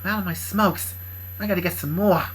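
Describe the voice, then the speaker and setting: A woman's voice: a little fast, a little hiss or hum, lightly acted, low-quality recording. A woman voicing a defensive, resentful young woman who rambles and stumbles over her words. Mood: sulky. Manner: rambling, stumbling, high and strained. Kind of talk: improvised fan story voicing.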